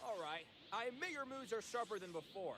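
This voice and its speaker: A man's voice: sits high, animated, heavy background noise. A man voicing a brash young speedster hedgehog in a cartoon fan dub, taunting and drawing out his words. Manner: brash, taunting, drawn-out delivery. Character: Sonic, cocky young male speedster hedgehog. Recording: loud music behind the voice.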